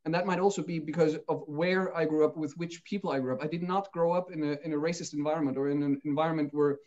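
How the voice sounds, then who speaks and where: Man as an earnest interview guest, restarting sentences, slightly high voice, muffled recording. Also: fairly even intonation, fast, a little mellow. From Austria. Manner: earnest, searching for words with many restarts. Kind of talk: reflective interview talk. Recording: dull lo-fi sound.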